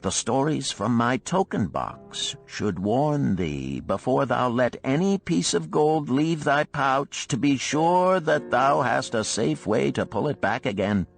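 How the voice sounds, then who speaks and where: A man voicing a wise, cautious older gold lender of ancient Babylon in a storybook, solemn and deliberate in archaic speech. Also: mid-range voice, animated, creaky, faint music behind. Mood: solemn, advising. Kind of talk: storybook character reading.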